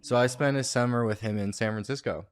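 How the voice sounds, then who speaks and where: A man's voice: medium pitch, a little fast, clean tone. A young man hosting a casual podcast interview, friendly and easygoing with lots of filler words. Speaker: podcast host. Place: media house studio.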